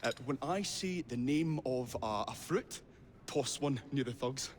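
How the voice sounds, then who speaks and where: A man's voice: medium pitch, measured pace, a little hiss or hum, lightly acted. A male actor playing a kindly, absent-minded inventor in a video game, speaking in hesitant, formal, wordy phrases. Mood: fussy, flustered. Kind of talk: video game cutscene acting.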